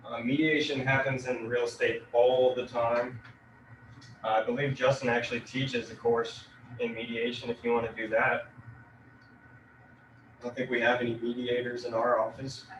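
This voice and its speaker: A man giving a relaxed real estate lecture, leaning on fillers as he explains. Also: mid-range voice, normal speed. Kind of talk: casual classroom lecture. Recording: echoey room, distant mic, noisy.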